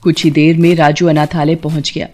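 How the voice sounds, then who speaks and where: A woman's voice: low voice, little pitch movement, fairly quick, darkish tone. A woman reading scripted narration for a children's moral story.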